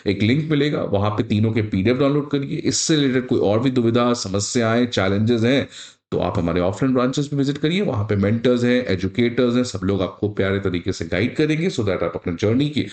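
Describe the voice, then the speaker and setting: A man's voice rings with noticeable room echo. He has an average pitch and an Indian accent. He breaks down current affairs like a teacher, speaking straight to students and slipping in English phrases. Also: a little fast, darkish tone. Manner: didactic, addresses viewers directly. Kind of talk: explanatory news analysis for students, mixing in English phrases. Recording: roomy, echoing sound.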